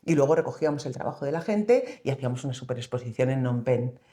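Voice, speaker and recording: A woman's voice: extremely low pitch, lively intonation, quick pace, mellow. A woman with an accent from Spain talking as an interview guest, telling her story in a reflective, loosely structured way. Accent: Spanish from Spain. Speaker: interview guest, co-founder of a social organization. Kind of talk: conversational interview account.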